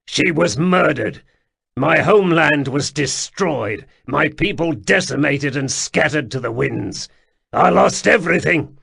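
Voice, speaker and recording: A scornful, hardened warrior with a rough, gravelly grain to his voice, speaking slowly and snapping out his words, as a male narrator plays him. His lines rise and fall widely rather than sitting flat. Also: medium pitch, exaggerated voice. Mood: bitter. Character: bitter, hardened older warrior man, curt and scornful.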